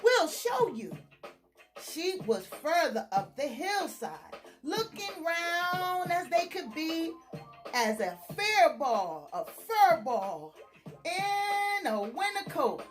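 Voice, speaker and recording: A woman reading a children's story aloud in an expressive, dramatic storytime style with drawn-out words. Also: very high-pitched, soft background music.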